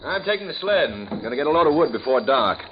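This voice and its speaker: An easygoing, hearty husband. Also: male voice, on the high side, very animated, fast talker. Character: easygoing handsome young architect husband. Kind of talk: 1940s radio acting. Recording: dull old radio recording with faint hiss.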